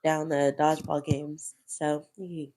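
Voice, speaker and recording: A woman's voice: deep voice, little pitch movement, slow pace, warm tone, slight background noise. A woman, co-hosting a podcast and recounting events in a chatty, giggly way. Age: 30s. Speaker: podcast co-host. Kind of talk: casual chat.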